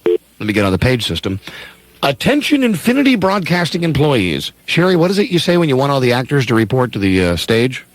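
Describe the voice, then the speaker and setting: A man's voice: mid-range voice, huge pitch swings, fairly quick, no echo, low-quality recording. A man hosting a radio show with casual, playfully theatrical live banter.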